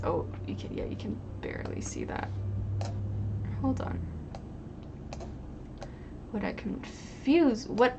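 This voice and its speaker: A woman streamer with a noticeably creaky voice chats casually with her viewers. She rambles and thinks out loud in an informal way, with some steady noise behind her. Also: medium pitch. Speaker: live streamer drawing digital art and chatting with viewers. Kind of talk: casual livestream chat.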